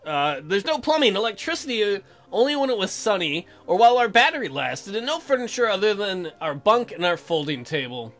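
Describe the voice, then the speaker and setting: A man's voice: high-pitched, big pitch swings, a little fast, few pauses, a bit bright, faint music behind. A man doing crude, sarcastic joking commentary while reading a visual novel aloud as a let's player.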